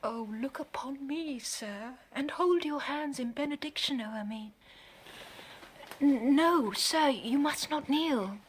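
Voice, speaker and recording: An actress playing a tender, devoted princess in a classical audio drama, hushed, breathy and earnest. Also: female voice, high-pitched, slow pace. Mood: tearful, loving. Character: tender, grieving princess, devoted daughter of a king.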